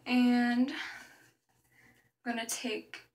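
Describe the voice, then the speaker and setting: A woman's voice: fairly high pitch, flat delivery, drawn-out words, darkish tone, roomy sound, recorded from a distance. A young woman chatting through a makeup tutorial, describing each step as she applies it, recorded in a small bedroom on the camera's built-in mic. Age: young adult. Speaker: makeup vlogger. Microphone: built-in camera mic at arm's length.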